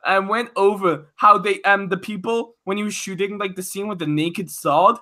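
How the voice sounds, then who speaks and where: A man's voice: sits high, steady tone, moderate pace, bright, forceful tone. A man chatting casually as a podcast panelist.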